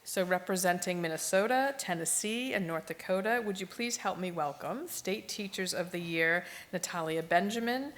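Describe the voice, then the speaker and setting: A woman with a slightly low voice opening an event from a stage, full of eager welcome and loose fillers. Faint room noise hums behind her. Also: normal speed, few pauses, fairly bright tone. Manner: enthusiastic, with fillers and restarts. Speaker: museum education chair, host. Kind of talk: welcome address. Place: stage in a historic museum building.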